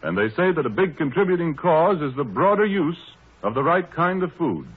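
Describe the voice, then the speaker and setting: Lively 1930s radio announcer man. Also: a little high, normal speed, breathy edge. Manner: formal, polished salesman delivery. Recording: muffled, narrowband 1930s radio broadcast.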